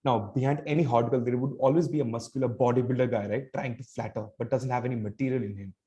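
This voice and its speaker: An Indian-accented man tells a comic tale as a contestant in a storytelling competition. His voice is relaxed and mellow, at an ordinary male pitch, in a stand-up style. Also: fast, dull audio.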